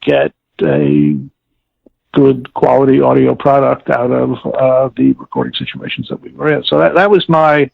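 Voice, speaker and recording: An older American man recalling his career in an interview, speaking articulately and formally but haltingly as he searches for words. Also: mid-range voice, very animated, creaky, no room sound. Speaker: interviewee, former audiobook director and producer. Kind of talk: interview recollection. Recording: thin band-limited phone-like call audio.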